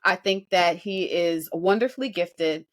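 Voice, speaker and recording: A woman's voice: lowish voice, measured pace. A woman co-hosting a podcast, giving unscripted music show commentary in an emphatic, analytical way. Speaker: podcast co-host.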